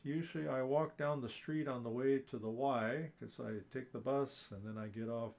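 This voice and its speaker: A man's voice: medium pitch, steady tone, normal speed, gravelly, darkish tone. A man hosting a live ukulele class, talking in a friendly, teacherly way with frequent chuckles and fillers. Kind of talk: live online class talk.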